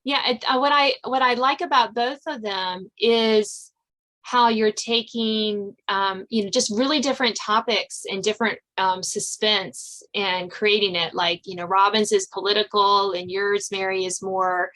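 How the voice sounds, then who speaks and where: A woman's voice: mid-range voice, fairly even intonation, unhurried, sharp tone, poor audio. A woman hosting a podcast in a casual interview style. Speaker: podcast host.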